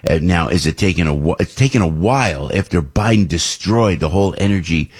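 An older man rambles through his radio talk show, restarting sentences and slipping into mock voices. His voice sits very low and carries a husky edge. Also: expressive, brisk. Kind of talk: casual political talk radio monologue.

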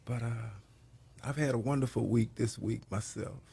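A hoarse man leading a prayer and preaching on a program, slow and halting, with many pauses. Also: mid-range voice, steady tone. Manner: grows louder and more emphatic while preaching. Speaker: pastor hosting a prayer program. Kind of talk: prayer and sermon talk.

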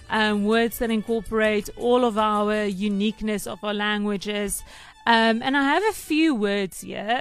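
A South African woman whose first language is Afrikaans, presenting a lively feature on breakfast radio, chatty and laughing often. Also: mid-range voice. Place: radio studio. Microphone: studio microphone.

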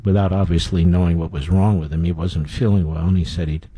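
A man's voice: low voice, steady tone, brisk, warm tone, slightly rough, dry recording. A man hosting a talk radio show, giving blunt, scornful commentary with frequent fillers.

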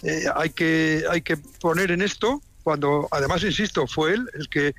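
Guy on a podcast, voice a bit high, giving his opinion carefully with pauses. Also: fairly bright tone. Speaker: former club president, podcast guest. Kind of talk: measured spoken opinion.

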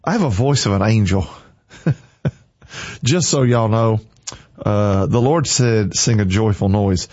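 A man's voice: on the low side, slow, long pauses, darkish tone, dry recording. Male morning radio host, preachy and fervent, dry close studio sound. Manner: fervent, preachy, repeats short commands. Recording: dry, slightly muffled studio.

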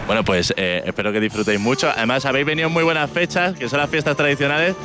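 Radio street reporter, male, fast and high, outdoor festival with loud music behind. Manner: hesitant, many restarts. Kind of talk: on-location radio interviews.

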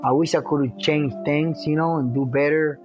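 A man in his fifties from Cuba, raised in the United States, haltingly recalling his own life. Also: fairly high pitch, steady tone. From born in Cuba, raised in the United States. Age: 50s. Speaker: interview subject. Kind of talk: personal recollection. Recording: dull lo-fi sound, music behind. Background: plucked guitar music.

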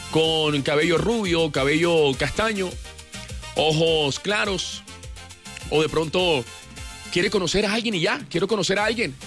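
A man hosting a late-night radio show, talking in an animated, upbeat way and now and then singing short lines. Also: high voice. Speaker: radio host. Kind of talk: lively radio presenting. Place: radio studio.

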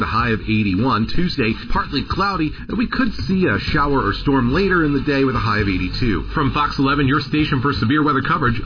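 Man, meteorologist, radio forecast, measured, dull audio with noise. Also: mid-range voice. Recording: lo-fi broadcast with background noise.

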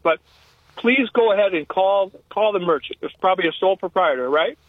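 A man's voice: sits high, fairly quick, dry recording. An American man calling in to a radio show by telephone, giving rambling, earnest advice in casual everyday speech. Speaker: phone-in caller. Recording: tinny narrow-band phone line.

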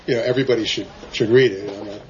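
A man in the audience asking the author a question, soft-spoken and hesitant, with many fillers. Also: on the low side, steady tone, rapid-fire. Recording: dull lo-fi live event recording.

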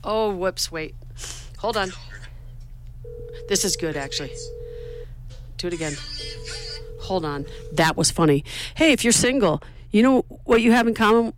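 A woman's voice: mid-range voice, expressive, measured pace, pauses often, soft background music. A woman co-hosting a local radio show, chatting casually in a playful, teasing and somewhat scattered way from a studio.

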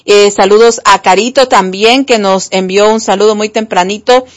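A woman's voice: medium pitch, steady tone, normal speed. Woman hosting a live show. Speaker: host reading viewer messages. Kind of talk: live broadcast presenting, reading out audience greetings and questions.

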